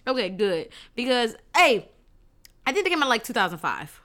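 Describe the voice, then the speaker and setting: A woman's voice: a little high, sing-song, moderate pace, sharp tone. A woman co-hosting a podcast in casual banter, playful and teasing, with slangy, profane talk. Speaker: podcast co-host.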